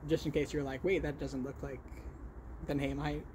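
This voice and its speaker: Halting, higher-voiced man with a stutter. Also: flat delivery, noisy background. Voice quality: stutter with repeated sounds and blocks. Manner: halting, self-correcting. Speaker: vlog host showing crochet work. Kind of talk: casual podcast-style chat.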